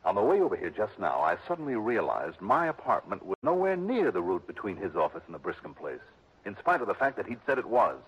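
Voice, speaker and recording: A man's voice: lowish voice, wildly swooping pitch, fairly quick, breathy edge, exaggerated voice. An actor playing a tough, sardonic male insurance investigator in a 1960s radio drama, with a clipped, wry, pressing delivery. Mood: probing. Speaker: lead actor. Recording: muffled old radio broadcast.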